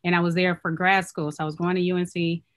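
A woman in her thirties from Oakland, a clothing business owner, talking casually and softly in an interview. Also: on the low side, flat delivery, fast. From Oakland, California.

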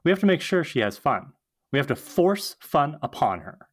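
A woman's voice: sits low, sing-song, moderate pace. A woman reading the part of an over-eager, earnest young college woman in a script table read.

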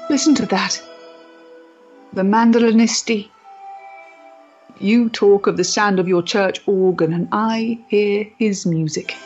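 An actress playing a proud, intense woman rebel of the Victorian era, measured, grave and dramatic, in a period stage play. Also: medium pitch, steady tone, darkish tone, dull audio, faint music behind, lightly acted. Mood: wistful.

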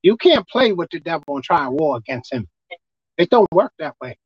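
A man with a squeaky voice preaching a sermon as pastor, emphatic and forceful. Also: very animated, fairly quick, husky, no echo, muffled recording. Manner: emphatic, pitch leaping up and down.

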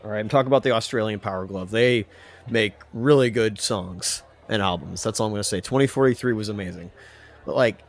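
A man's voice: on the low side, a little fast. Ranting, opinionated male podcast co-host. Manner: ranting, opinionated, mild substitute swearing. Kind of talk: casual music discussion.

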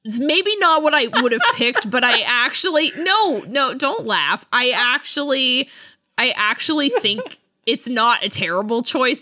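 A woman's voice: on the high side, sharp tone. A woman co-hosting a casual chat about books, often giggling as she talks. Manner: giggly, laughs while talking. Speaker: podcast co-host. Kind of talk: casual book-review chat.

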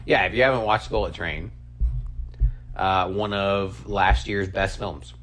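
An American man in his thirties hosting a casual comedy podcast, swearing freely and telling stories in an animated, self-deprecating way. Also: deep voice, unhurried. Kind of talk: casual, profane chat about movies and games.

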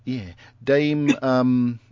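A man's voice: mid-range voice, steady tone, drawn-out words, a little mellow, clear, resonant tone, no room sound. Rambling man hosting a podcast. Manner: rambling, dry teasing asides. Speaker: podcast host. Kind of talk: casual chat. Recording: dull lo-fi sound.

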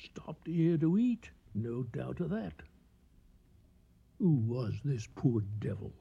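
A rattled British colonial police inspector in a radio play. His voice sits fairly high and swings widely, with a gravelly creak, as he blusters haltingly through his fear. There is light noise behind him. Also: big pitch swings, mellow, dull audio, exaggerated voice. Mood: grim, shaken. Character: frightened, unraveling male colonial police inspector in Africa. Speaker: actor in a radio drama. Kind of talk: 1980 radio drama acting.

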